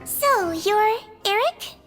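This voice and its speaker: Game casting: a little head nurse, very high, soft and patient, clinical tone. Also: female voice, expressive, very slow, soft background music, heavily acted. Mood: calm. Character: small gentle female head nurse. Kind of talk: video game dialogue.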